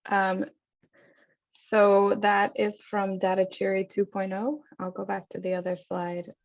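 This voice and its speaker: A woman hosting an online event in an informal style, phrasing things haltingly and hesitantly. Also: medium pitch, flat delivery. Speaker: event host and moderator. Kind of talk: informal webinar moderation. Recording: slightly dull online call audio.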